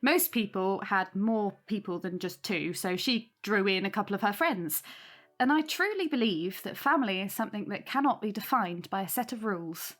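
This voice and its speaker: An actress playing a young single mother in an audio drama, warm, sincere and chatty as she hosts her neighbours. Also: medium pitch, animated, moderate pace. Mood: warm, earnest.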